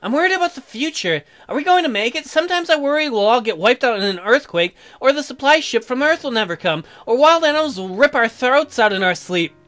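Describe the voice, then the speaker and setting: A man voicing a gentle, worried young pregnant wife, reading visual novel dialogue in a soft, hesitant, earnest way. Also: very high voice, lively intonation, a little fast, hardly any pauses, voice pushed up.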